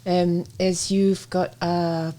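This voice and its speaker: A woman's voice: on the low side, level tone, slow pace, mellow. A woman co-hosting a live chat show, asking friendly prompting questions. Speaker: co-host. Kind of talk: casual chat.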